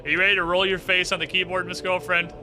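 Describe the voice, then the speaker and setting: A man's voice: high voice, level tone, quick pace. A man giving casual, joking gaming commentary as a streamer, with some swearing. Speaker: game streamer. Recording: music behind the voice. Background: game music.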